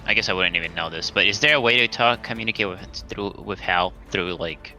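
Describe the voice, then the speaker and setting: A man's voice: low voice, brisk, bright, forceful tone. A man playing a tabletop roleplaying game, chatting casually and asking questions in a hesitant way. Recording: music under the voice.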